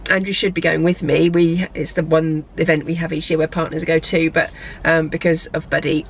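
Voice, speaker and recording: A woman's voice: sits low, flat delivery, fairly quick, vocal fry, a little hiss or hum. A woman chatting casually to camera in a rambling way, a bit bunged up from a cold. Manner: rambling, chatty, self-correcting. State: congested from a cold. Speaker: lifestyle vlogger. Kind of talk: casual vlog chat. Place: parked car, then a hotel room. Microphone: camera on a tripod.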